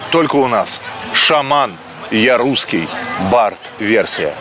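Man, field reporter, friendly presenter manner, outdoor festival, mid-pitched voice, clear traffic ambience behind. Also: expressive, takes it slowly. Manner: friendly, addresses listeners as friends. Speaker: field reporter interviewing a guest. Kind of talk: hosted show segment and interview questions.

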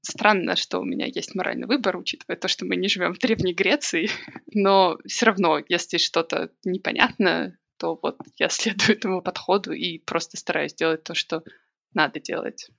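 Woman, gravelly voice, reflective, thinking aloud, dull lo-fi sound. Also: on the low side, steady tone, normal speed, a bit bright. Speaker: podcast guest. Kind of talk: casual interview talk. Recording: dull lo-fi recording.

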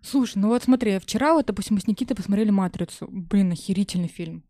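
Woman, medium pitch, podcast guest, informal chat, thinking aloud, dry sound. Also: quick pace, dark tone, no room sound. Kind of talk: casual chat.

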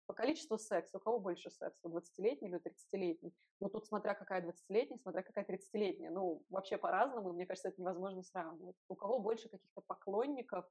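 A woman speaks quickly in a slightly low, husky voice with a bright, forceful edge. She is a podcast co-host in casual discussion, laying out opinions through reasoning and rhetorical questions.

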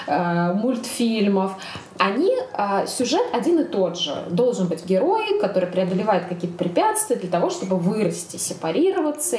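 A woman co-hosting a podcast in casual discussion, speaking in a halting but emphatic way with repetitions. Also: mid-range voice, a little mellow. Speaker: podcast co-host. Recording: echoey room with faint noise.

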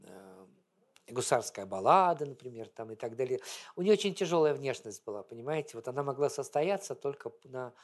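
Guy giving a chatty talk on theatre history, rambles and goes off on tangents, mid-pitched voice. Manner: rambling, digressive, conversational. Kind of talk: informal public lecture with anecdotes.

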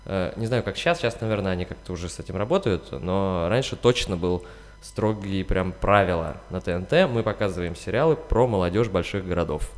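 Male screenwriter guest, deep voice, casual explainer, hall-like reverb. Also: expressive, brisk.

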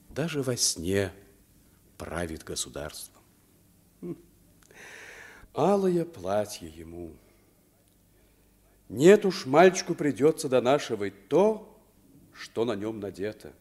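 A man's voice: fairly low pitch, very animated, takes it slowly, long pauses, a little mellow, breathy edge, full character voice. A man playing a grumbling, exasperated poor parent in a theatrical radio play. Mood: muttering.

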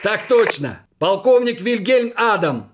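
An actor playing an earnest, dutiful Soviet senior lieutenant in a radio play, speaking in a clipped military way. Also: male voice, sits high, expressive, measured pace, very noisy. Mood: dutiful, brisk.

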